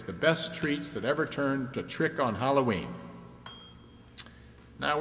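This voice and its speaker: Man acting as radio stunt-show emcee, jovial, folksy pitchman, medium pitch, reverberant hall, background noise. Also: fairly bright tone, natural voice. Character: jovial male host of an old-time radio stunt show. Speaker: game show host.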